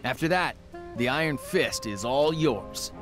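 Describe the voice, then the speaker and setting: An actor playing an earnest young martial-arts superhero in a video game, friendly in manner. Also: male voice, mid-range voice, lively intonation, measured pace, music in the background, lightly acted. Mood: earnest, upbeat.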